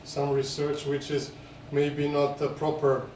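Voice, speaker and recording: A man's voice: fairly high pitch, completely flat delivery, measured pace, a little mellow. A man giving unscripted interview answers as a film director, hesitant and repeating words as he explains. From Serbia. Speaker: documentary film director, festival guest. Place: echoey screening venue. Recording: echoey room, distant mic, faint noise.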